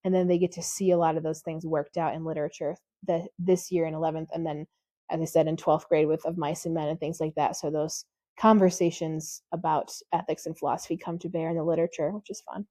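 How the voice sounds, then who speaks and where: A woman who teaches high school, talking casually about her classes in a mellow voice. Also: flat delivery, quick pace, no echo.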